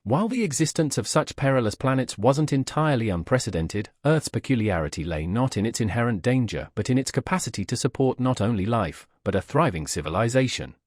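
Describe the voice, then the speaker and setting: Animated male sci-fi story narrator. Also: medium pitch, brisk, few pauses, no echo, studio quality. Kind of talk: scripted science fiction narration, wry.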